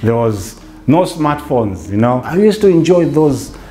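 A Zambian man in his forties, a theatre facilitator, talking reflectively in a relaxed interview in a bright living room, with restarts and "you know". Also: mid-range voice, unhurried, a little hiss or hum.

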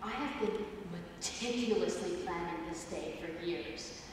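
A woman's voice: unhurried, lightly acted. A young woman acting on a stage as a pushy young man applicant who turns ominous and cryptic. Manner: ominous, pushy, low menacing asides. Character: intense, scheming male applicant named Ben. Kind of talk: student stage play. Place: theater stage, audience. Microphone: far camera in the audience. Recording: echoey hall from far off, faint noise.

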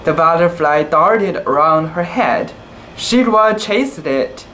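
A young man reading a children's book aloud in a casual, halting way, with cooing asides about the pictures. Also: on the high side, animated, sharp tone, slight background noise, poor audio. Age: young adult.

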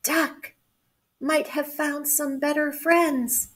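A woman's voice: mid-range voice, lively intonation, slow pace, vocal fry, fairly bright tone, exaggerated voice. A woman voicing an anxious, sorrowful cat from a children's storybook, with emotive, plaintive delivery. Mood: sad.

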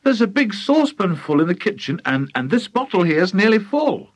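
Man doing an excitable high-voiced little boy. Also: normal speed, no room sound. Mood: eager. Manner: breathless excited shouting. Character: excitable small boy, eager and thrilled.

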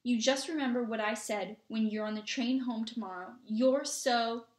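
A woman's voice: fairly high pitch, normal speed, reverberant, lightly acted. A woman voicing a bossy, indignant schoolgirl who scolds her classmates insistently in a storybook reading. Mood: scolding.

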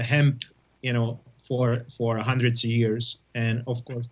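A man from Czechoslovakia with a Czech accent, answering interview questions in halting English full of restarts. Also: medium pitch, rough recording. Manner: halting, many restarts and repeated words. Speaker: guest, testing company representative.

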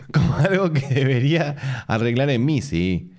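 Argentine man, 30s, streamer; sarcastic, quick to laugh, very lively intonation. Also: mid-range voice. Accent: Argentine Spanish. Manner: laughs often, sarcastic jokey asides. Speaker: streamer reading a forum post aloud. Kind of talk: casual live-stream reading with mocking asides. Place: home room with bookshelves.

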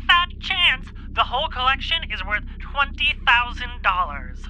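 A very sharp, piercing, animated voice. A man acts a nerdy young male shop clerk in an audio drama, defensive and petulant, full of his own importance. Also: extremely high pitch, a little slow, slight background noise, heavily acted, low-quality recording. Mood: scornful, smug. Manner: snarky, petulant.

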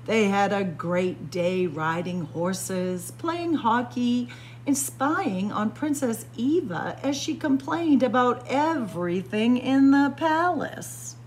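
A woman reading a children's picture book aloud with deliberate, expressive storytelling. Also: on the high side. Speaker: storytime reader. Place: indoors, room with library bookshelves. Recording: faint background noise.

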